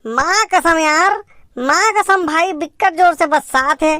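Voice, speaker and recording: A fussy, argumentative cartoon village man, played by a man, speaks in a high, pitched-up voice. He has a Chhattisgarh accent and hammers his words home by repeating them. The comedy dialogue sounds close and dry, with no room echo. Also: slow pace, pushed and bright, exaggerated voice. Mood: disgusted. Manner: insistent, repeats words emphatically. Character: stubborn, fussy, argumentative male villager on a picnic.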